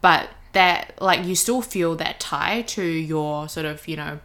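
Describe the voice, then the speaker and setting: A woman's voice: low-pitched, slow pace, a bit bright. A young woman with a New Zealand accent, chatting casually and reflectively as a co-host and often checking that the listener agrees. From New Zealand, Chinese-born heritage. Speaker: podcast co-host. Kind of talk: casual chat.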